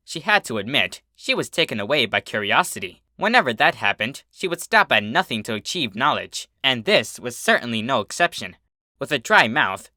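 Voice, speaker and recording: A man reading fan fiction as a narrator, in an expressive scripted storybook style. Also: medium pitch, dry recording, clean studio sound.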